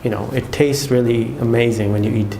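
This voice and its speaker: A man's voice: medium pitch, fairly even intonation, fairly quick, vocal fry, warm tone, echoey room, a little hiss or hum, rough recording. A man from Pakistan with a Pakistani accent, talking casually to camera with lots of fillers and restarts. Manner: chatty, many fillers and restarts. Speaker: vlogger.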